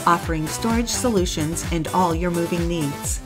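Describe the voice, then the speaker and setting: A woman's voice: fairly low pitch, moderate pace. An American woman reading a scripted sponsor voice-over as an announcer. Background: music.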